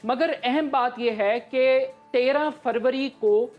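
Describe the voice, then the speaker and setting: A woman's voice: sits high, slow, muffled recording, soft background music. A woman with a Pakistani accent explaining current affairs emphatically as a studio analyst. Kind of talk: live political commentary.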